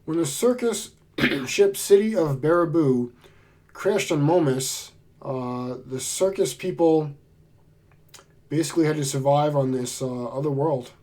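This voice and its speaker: Hoarse, chatty man raving about old books. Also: fairly high pitch, measured pace, a little mellow. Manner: enthusiastic, chatty, laid-back. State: hoarse from a cold. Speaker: book vlogger. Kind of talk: casual book haul chat.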